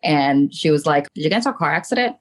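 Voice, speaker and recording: Podcast guest: woman, middle-aged, low register, from the Philippines, casual and candid personal storytelling with emphatic check-ins. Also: expressive, fast talker. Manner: candid, emphatic, often checks in with "right" and "you know". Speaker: podcast guest, trauma coach and spiritual medium.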